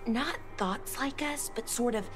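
A woman voicing an earnest, curious elven scholar in a fantasy video game, haltingly thinking aloud. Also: on the high side, faint music behind, natural voice. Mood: thoughtful.

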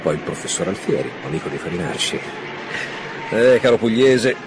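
An actor playing a brooding, dogged police commissioner in a radio drama, reasoning aloud in pensive mutters. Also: male voice, medium pitch, expressive, moderate pace, very noisy, close to an everyday voice. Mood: wry, determined. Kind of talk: Italian radio drama acting.

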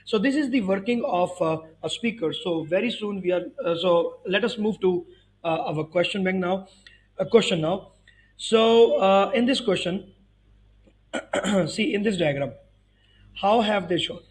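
A man's voice: high-pitched, measured pace, low-quality recording. A man in his thirties giving an explanatory physics tutorial, emphatically repeating key phrases. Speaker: physics teacher.

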